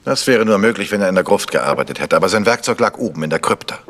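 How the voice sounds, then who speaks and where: A man's voice: low voice, lively intonation, quick pace, a bit bright. An actor playing a composed male amateur detective in a German radio crime drama, asking probing, matter-of-fact questions. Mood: insistent. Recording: long reverberant hall.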